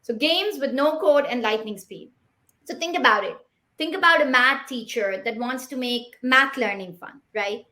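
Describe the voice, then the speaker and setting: A woman in her thirties, originally from Pakistan with Pashto as her first language, pitches with bright enthusiasm. Her voice sits a little high, and she leans on rhetorical questions to pull listeners in. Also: normal speed. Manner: upbeat, persuasive, rhetorical questions. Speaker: startup founder pitching. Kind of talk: rehearsed pitch.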